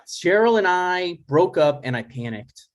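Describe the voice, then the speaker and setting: A man's voice: high-pitched, lively intonation, unhurried. Guy reading as a needy grown son, anxious and exasperated, voice jumps around a lot, casual comedy table read. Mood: anxious, hurt. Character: anxious, needy adult son seeking his dad's approval. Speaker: writer playing himself and reading stage directions.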